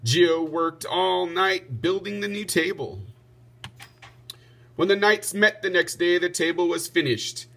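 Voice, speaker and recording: A man narrates a children's storybook with expression. His voice is bright and fairly high, with the projection of a teacher reading aloud. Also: a little slow, vocal fry.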